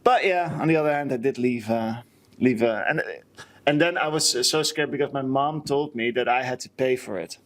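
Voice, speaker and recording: A man's voice: mid-range voice, normal speed, a bit bright. Rambling male podcaster. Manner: rambling, self-correcting. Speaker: podcast co-host. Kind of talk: casual chat, personal storytelling.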